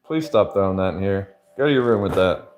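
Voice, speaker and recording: A man's voice: low voice, lively intonation, fairly quick. A man livestreaming and answering chat in a loose, rambling way, full of fillers. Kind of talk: casual chat.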